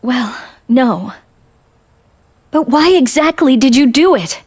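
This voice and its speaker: An actress playing a concerned, dutiful woman soldier in a video game, with a breathy voice. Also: high voice, lively intonation, takes it slowly, a little mellow, full character voice. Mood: concerned, hesitant. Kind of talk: English video game voice acting.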